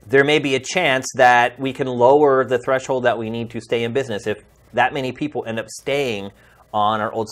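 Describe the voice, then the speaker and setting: Earnest male podcast host, heartfelt. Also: mid-range voice. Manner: heartfelt, pleading, conversational. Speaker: podcast host and games journalist. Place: video podcast studio.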